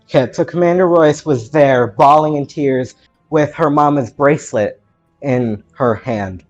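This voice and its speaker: A man's voice: a little high, measured pace, muffled recording, faint music behind. A man speaking as a roleplaying player, giving a casual narrative recap.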